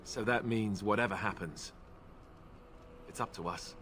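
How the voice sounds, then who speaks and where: Earnest low-voiced young warrior. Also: male voice, a little slow, fairly bright tone, a little hiss or hum. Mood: grave, resigned. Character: earnest young male warrior, resigned yet dutiful. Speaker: video game companion character. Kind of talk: video game dialogue acting.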